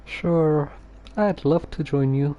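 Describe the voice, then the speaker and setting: A man streams a game with casual, halting commentary. His voice is slightly high and mellow, very slow and low-key, with faint noise behind it. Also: lo-fi sound. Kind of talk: casual gameplay commentary, some reading aloud.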